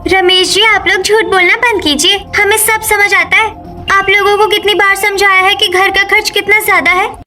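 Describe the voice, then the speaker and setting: A woman's voice: extremely high pitch, flat delivery, moderate pace, sharp tone, faint music behind. A woman voicing a harried young village daughter-in-law in a cartoon, plaintive and scolding.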